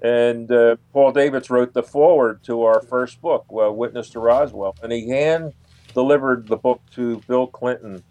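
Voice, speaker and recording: Halting man, dry close sound. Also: mid-range voice, a little mellow, no echo. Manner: halting, often repeats words. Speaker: guest, UFO researcher and author. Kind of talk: radio interview, explaining.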